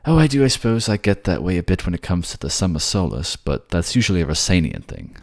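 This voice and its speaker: A man playing a gentle, courtly merman prince who speaks tenderly, politely and a little bashfully in a romantic fantasy audio drama. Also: deep voice, very animated, quick pace, gravelly, no echo, clean studio sound, exaggerated voice. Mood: bashful, amused.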